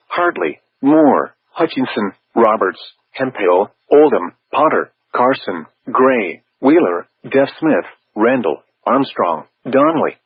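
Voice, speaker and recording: A man's voice: medium pitch, breathy edge, dry recording. Tinny synthetic male weather radio announcer. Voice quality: synthetic text-to-speech voice. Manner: formal, measured, stilted phrasing. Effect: weather radio broadcast. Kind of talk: scripted weather warning bulletin.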